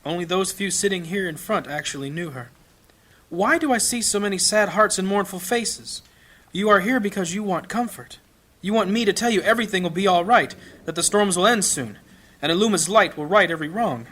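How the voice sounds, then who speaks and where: A man's voice: high voice, brisk, fairly bright tone. A man playing a grave, blunt fantasy priest who preaches in an oratorical, questioning way in an audio drama. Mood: grave, bitter. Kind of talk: dramatic funeral sermon.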